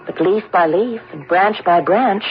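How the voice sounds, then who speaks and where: An actress playing a gentle, thoughtful, timid wife, speaking politely and earnestly in an old-time radio drama. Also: female voice, on the low side, a little slow, a little hiss or hum, close to an everyday voice. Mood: gently philosophical. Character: gentle, thoughtful, timid married woman with philosophical bent. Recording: muffled vintage radio recording.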